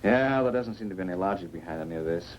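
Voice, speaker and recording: Practical crew guy in a 50s sci-fi flick, really low voice, noisy old soundtrack. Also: lively intonation, racing, recorded from a distance, lo-fi sound. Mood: puzzled. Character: practical, plain-spoken male crew member Steve. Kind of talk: 1950s science fiction film acting.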